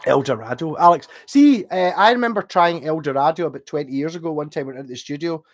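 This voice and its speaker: A man's voice: fairly high pitch, expressive, fast, soft background music. Glaswegian male streamer, loose rambling chat, casual swearing, answers viewer comments. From Glasgow, Scotland. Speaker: livestream host.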